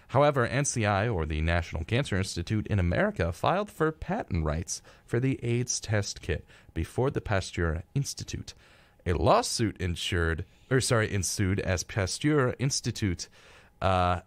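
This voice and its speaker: A man with a very low-pitched voice chats casually as a radio co-host. He rambles and drops in wry reactions as he goes. The sound is close and dry, as in a studio. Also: dry recording. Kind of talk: casual, chatty live radio talk. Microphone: close studio microphone.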